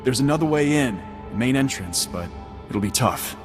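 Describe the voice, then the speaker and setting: An actor playing an embittered, loyal soldier of a prisoner commando unit in a video game, intense and emotional. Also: male voice, mid-range voice, animated, moderate pace, natural voice. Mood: bitter, urgent. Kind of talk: video game dramatic dialogue. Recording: long reverb, music underneath.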